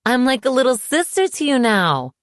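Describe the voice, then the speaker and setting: A woman's voice: fairly low pitch, animated, normal speed, no room sound, studio quality, close to an everyday voice. Woman acting wounded, indignant young woman, emotionally charged. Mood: angry, incredulous.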